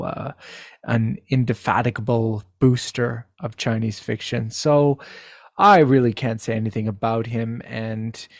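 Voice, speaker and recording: Halting male guest, very creaky voice. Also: medium pitch, darkish tone, no room sound, studio quality. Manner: halting, repeats words, searching for phrases. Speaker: podcast guest, translator. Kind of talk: casual discussion.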